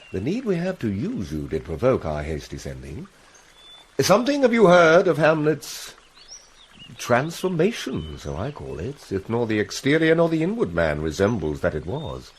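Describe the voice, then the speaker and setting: A man's voice: medium pitch, huge pitch swings, normal speed, husky. An actor playing a commanding, courtly middle-aged king with stately delivery in a classical Shakespearean drama. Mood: gracious, concerned.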